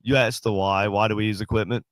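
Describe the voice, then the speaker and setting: A man's voice: lowish voice, quick pace, no echo. Nasal, congested man rambling on a podcast. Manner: rambling, joking, animated shout-outs to viewers. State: congested from a sinus cold. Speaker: podcast co-host. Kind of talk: casual chat.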